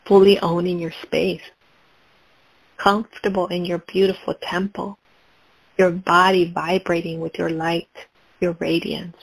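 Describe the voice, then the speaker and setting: A woman leading a guided meditation, speaking in slow, calm, repetitive prompts. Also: on the low side, creaky, slightly rough, poor audio.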